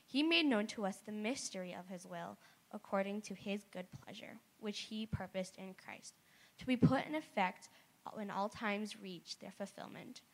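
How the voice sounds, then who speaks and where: A young woman whose voice is hoarse and raspy reads a passage of scripture to a congregation. Her pitch sits in an ordinary middle range, and she reads cheerfully and softly. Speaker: scripture reader. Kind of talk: scripture reading in church.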